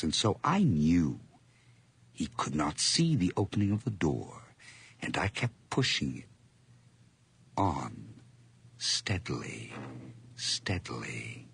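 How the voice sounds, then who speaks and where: A sly, obsessive madman in a gothic radio drama. The voice is low and very husky, often close to a whisper. He is theatrical and slow, savoring words with gloating relish. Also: fairly bright tone, exaggerated voice. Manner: theatrical, deliberate, lingering on repeated words.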